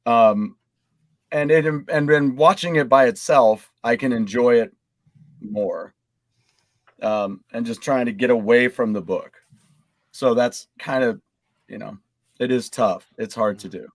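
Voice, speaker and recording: A man's voice: medium pitch, measured pace, long pauses, no room sound, clean studio sound. A man co-hosting a casual gaming panel chat, talking in a rambling, conversational way. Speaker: co-host of a gaming live stream.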